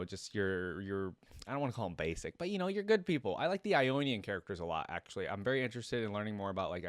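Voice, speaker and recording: Low, clear-voiced man chatting casually. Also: no echo. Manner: informal, thinking aloud in loose tangents. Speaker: YouTube reaction host. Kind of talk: casual, rambling solo reaction commentary to viewers.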